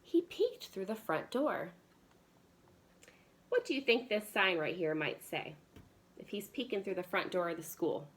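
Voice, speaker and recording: A woman's voice: medium pitch, big pitch swings, measured pace, long pauses. A woman reading a children's storybook aloud as a preschool teacher would, expressively addressing young children.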